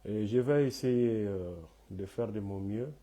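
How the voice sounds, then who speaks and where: A man, hoarse from a cold, hosting a live talk in French and Bambara with many hesitant fillers. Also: on the low side, a little slow, mellow. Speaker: host of a live online talk.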